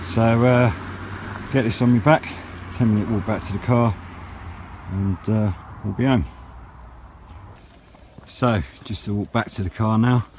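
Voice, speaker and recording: A British man chatting casually in a vlog, with a rambling, unhurried, low-key way of talking. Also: fairly even intonation, a little mellow. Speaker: radio hobbyist vlogger. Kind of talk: casual outdoor vlog chat. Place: outdoors on wooded high ground by a road. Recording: outdoor noise with passing vehicles.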